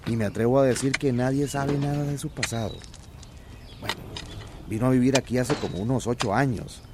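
An actor playing a teasing, folksy older village labourer in a radio drama. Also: male voice, mid-range voice, brisk, background noise, close to an everyday voice. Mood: sly.

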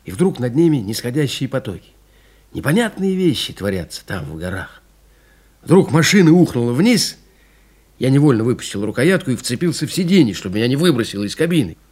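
An actor playing a rugged, proud aviator telling of his ordeal in a restrained, intense dramatic monologue with a husky voice. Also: mid-range voice, very animated, brisk, darkish tone. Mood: tense. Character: rugged surviving male aviator recounting his mountain ordeal, proud and plainspoken. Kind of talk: dramatic first-person monologue in an audio play.

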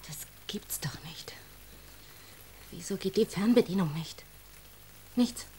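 An actress playing a busy, fretful mother with a husky voice in a children's radio drama. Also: medium pitch, slow, dark tone, in a whisper, exaggerated voice. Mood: exasperated.

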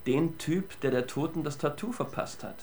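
An actor playing a flippant, slangy police investigator in a radio crime drama, casual and matter-of-fact. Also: male voice, a little high, moderate pace, a little mellow, lightly acted. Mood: breezy, matter-of-fact.

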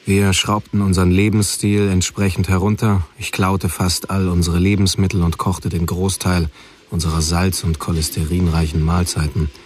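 Deep, terse narrator. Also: male voice, little pitch movement, moderate pace, slightly rough. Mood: terse, matter-of-fact. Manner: terse, matter-of-fact. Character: male narrator plainly recounting his troubled teenage years. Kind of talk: scripted first-person narration, radio drama.

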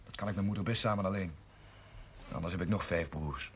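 A stubborn, low-voiced young grocer. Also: male voice, brisk, husky. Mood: determined. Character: stubborn, resentful young male grocer, eldest son. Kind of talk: 1970s television drama acting. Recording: noisy 1970s television soundtrack.

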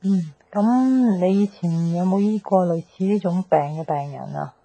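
Mellow, plucky young Hong Kong woman. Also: lowish voice, a little slow, vocal fry, poor audio. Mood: earnest, hopeful. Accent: Hong Kong Cantonese. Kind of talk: naturalistic radio drama dialogue.

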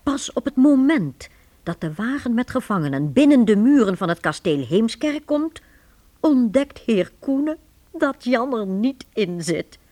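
A woman storyteller narrates a radio drama. Her voice is husky and lively, moving freely up and down as she reads the scripted tale. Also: fairly high pitch, a little slow. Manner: animated, storybook delivery.

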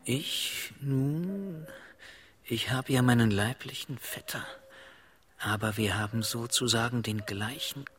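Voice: mid-range voice, takes it slowly, fairly bright tone. An actor in a radio play playing a sly, gleeful plotter of grisly pranks, conspiratorial and swinging between whispers and shrillness. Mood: musing, scheming. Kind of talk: stylized radio play acting.

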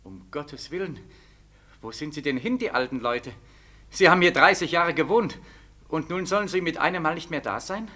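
Plaintive homecoming soldier, bright tense voice. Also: male voice, fairly high pitch, lively intonation, normal speed. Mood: desperate, grieving. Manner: insistent, repetitive questioning. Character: homecoming soldier back from Siberia, bewildered and desperate. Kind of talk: 1940s German radio drama acting.

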